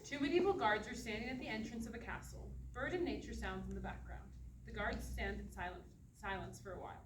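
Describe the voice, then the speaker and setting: A woman's voice: on the high side, fairly quick. A woman reading stage directions as scripted narration at a play reading. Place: studio theatre. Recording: distant, roomy theatre recording, faint noise.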